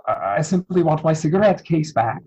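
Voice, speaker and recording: Dull lo-fi video-call audio of a man acting an earnest, easily flustered young gentleman. He speaks formally in a fairly high, husky voice, as in a mannered period stage comedy. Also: expressive, fairly quick. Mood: indignant, evasive. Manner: formal, mannered, indignant delivery.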